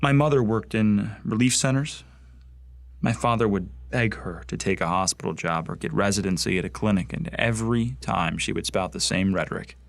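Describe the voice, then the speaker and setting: A man's voice: fairly low pitch, moderate pace, gravelly, no echo. A young man acting a bitter, bigoted Martian recruit in a radio drama, ranting with contempt. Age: young adult. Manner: hateful, contemptuous ranting monologue.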